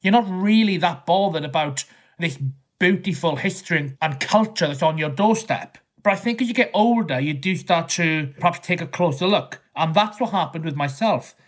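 A man's voice: on the high side, moderate pace. A man from South West Wales in his thirties with a Welsh accent, answering interview questions as a historian in a thoughtful, rambling way with small restarts. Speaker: historian guest. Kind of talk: conversational interview.

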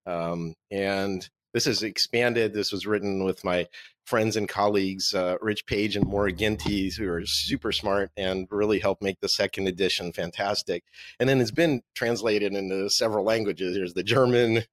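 A man in his forties, born in Russia with Russian as his first language, answering interview questions as an author in a relaxed, joking way. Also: lowish voice, moderate pace, no room sound. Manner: relaxed, joking, laughs at own quips. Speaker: author and marketing agency owner.

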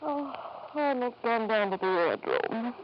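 A woman's voice: fairly high pitch, lively intonation, measured pace. An actress playing a grieving, hard-drinking middle-aged mother in an old-time radio drama, bitter, weary and theatrical. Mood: weary, defeated. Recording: dull, noisy 1940s-50s radio recording.

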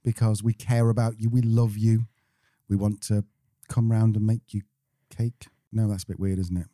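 A man's voice: on the low side, flat delivery, normal speed, mellow, no room sound, clean studio sound. A British man co-hosting a podcast with casual, tongue-in-cheek banter and chatty sponsor reads. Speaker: podcast co-host, business owner.

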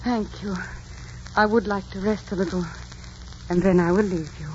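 An actress playing a gentle young Aztec princess, formal and earnest, in a 1940s radio romance. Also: female voice, medium pitch, steady tone, normal speed, lightly acted. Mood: shy, grateful. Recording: dull, hissy old radio recording.

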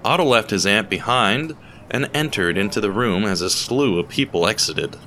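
A man reading a scripted story as narrator, in a measured, dramatic way with deliberate pauses. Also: lowish voice, lively intonation, bright, forceful tone, slight background noise. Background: music.

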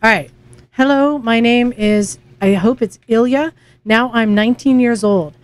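Playful woman co-host, higher voice. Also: unhurried. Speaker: podcast co-host. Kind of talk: casual chat, reading listener emails. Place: garage with a fan running.

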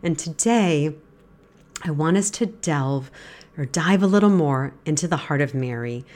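A woman in her fifties hosts a devotional Bible podcast in a low, congested voice. She sounds stuffy from a head cold and clears her throat now and then. Her delivery is earnest and gentle as she teaches. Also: expressive, a little slow. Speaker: podcast host.

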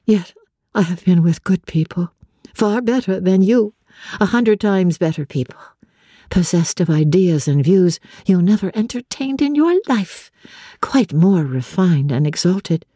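Woman reader playing devoted young woman, soft dark timbre, dry close sound, composed, playful, tender, classic novel audiobook. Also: lowish voice, animated, measured pace, dry recording. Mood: playful teasing. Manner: composed, playful, formal old-fashioned speech. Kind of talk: dramatic literary audiobook reading.

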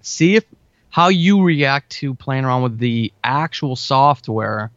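Guy guesting on a podcast, chatty informal advice, medium pitch, kinda muffled dry sound. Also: animated, slow, fairly bright tone, no echo. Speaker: podcast guest, writer and audio drama podcaster. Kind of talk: casual interview talk, giving advice. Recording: dull, lo-fi, dry close sound.

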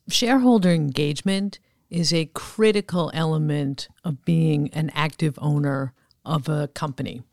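A woman giving measured, businesslike explanations as an executive guest in a podcast interview. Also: low-pitched, dry recording, studio quality. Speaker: podcast guest, chief investment officer.

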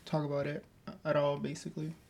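A man's voice: a little high, monotone, quick pace, vocal fry, darkish tone. A young American man chatting casually as a podcast guest. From United States. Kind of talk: casual conversation.

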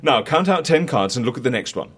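An actor playing an eccentric, excitable and easily flustered boss in an audio comedy, with a theatrical, bumbling manner. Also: male voice, mid-range voice, quick pace, natural voice. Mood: eager, showy. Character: excitable, eccentric boss eager to show off magic tricks. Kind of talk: audio fiction comedy acting.

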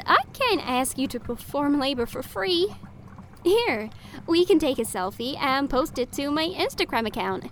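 A woman's voice: high voice, big pitch swings, moderate pace, a little hiss or hum. A woman playing a melodramatic, sweetly entitled customer with a theatrical, gushing manner in an audio drama.

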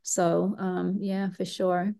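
A woman from the UK with an accent mixing British and Australian, chatting casually as an interview guest, relaxed and rambling with many fillers. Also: lowish voice, slow pace, vocal fry. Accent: mix of British and Australian. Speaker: guest, retired pro triathlete.

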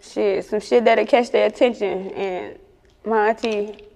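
A woman's voice: mid-range voice, steady tone, a little slow, gravelly, mellow, long reverb tail, rough recording. A woman with a Chicago accent chatting casually in an interview as a rapper, with slangy, sweary talk. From Chicago, United States.

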